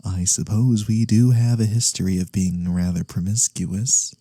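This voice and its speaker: A man playing a teasing, theatrical but harmless incubus demon in a soft-spoken ASMR roleplay, breathy and drifting into whispers. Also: fairly low pitch, fairly even intonation, moderate pace, no room sound, clean studio sound. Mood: playful, insistent.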